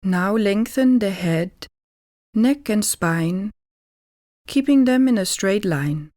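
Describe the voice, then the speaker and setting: A woman leads a guided meditation at a very unhurried pace. Her mid-pitched voice is calm and deliberate, leaving pauses between gentle instructions. The sound is close and dry, with no room echo. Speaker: yoga nidra meditation teacher.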